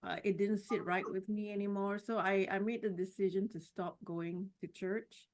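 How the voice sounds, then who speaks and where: A middle-aged woman from Singapore tells her story as a podcast guest. Her voice sits at a middle pitch and she speaks reflectively, often stopping to restart. The tone stays casual and personal. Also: fairly even intonation, moderate pace. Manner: warm, reflective, with frequent "you know" and restarts.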